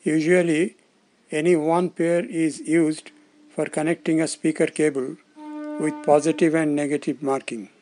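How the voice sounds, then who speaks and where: A man presenting a tutorial in scripted instructional narration, explaining plainly in short, measured phrases. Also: on the high side, flat delivery, darkish tone, faint music behind.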